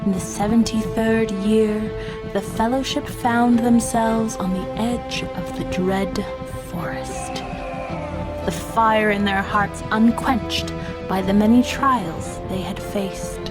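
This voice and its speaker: A woman's voice: mid-range voice, fairly even intonation, slow pace, lightly acted. A woman playing a grave, portentous storyteller, narrating an epic fantasy audio drama. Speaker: narrator, dungeon master. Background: loud music.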